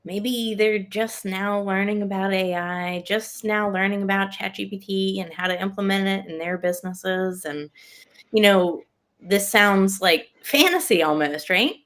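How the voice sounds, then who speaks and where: A woman's voice: medium pitch, a little slow, fairly bright tone. A woman hosting a conversational interview in an effusive, encouraging manner. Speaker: interview host.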